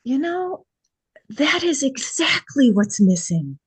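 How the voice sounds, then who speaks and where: A woman's voice: high voice, very animated, takes it slowly, no echo, full character voice. A woman playing a kind, encouraging young woman in a drama table read. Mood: playful, encouraging.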